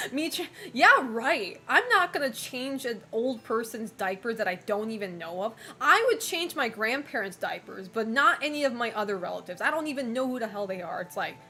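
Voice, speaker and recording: A young woman streamer in casual chat, frank and talkative, laughing often and filling pauses with "like" and "um". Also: on the high side, sing-song, bright, forceful tone. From United States and Japan. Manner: chatty, frank, laughs often, many fillers. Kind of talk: casual chat reading viewer messages.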